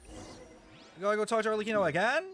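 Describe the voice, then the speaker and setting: A young woman giving casual game commentary as a streamer, slangy, sweary and sarcastic, with animated exclamations. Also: mid-range voice, fairly quick, a little hiss or hum.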